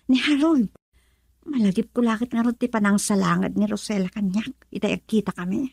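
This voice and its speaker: An actress with an Ilocano accent playing an excitable, prying woman in a radio love drama. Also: medium pitch, fairly quick, vocal fry, breathy edge, dry recording, studio quality. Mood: gossiping.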